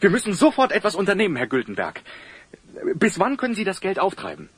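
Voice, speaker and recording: A man playing an earnest young athlete with a husky voice and formal manner in a children's audio drama. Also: high-pitched, huge pitch swings, fast, fairly bright tone, no echo, soft background music, heavily acted. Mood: urgent. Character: earnest young male athlete, secret suitor.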